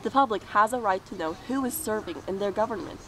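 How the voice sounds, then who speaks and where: A woman's voice: mid-range voice, animated, quick pace, natural voice. Self-righteous American senator, acted by a woman, puppet-show speechifying, earnest and unyielding, faint noise behind.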